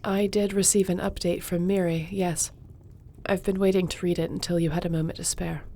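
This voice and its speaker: A woman playing a loyal court sorceress in a fantasy audio roleplay, speaking in a measured, formal, gently supportive way. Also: lowish voice, little pitch movement. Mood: composed, supportive. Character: loyal, composed court sorceress advising her king.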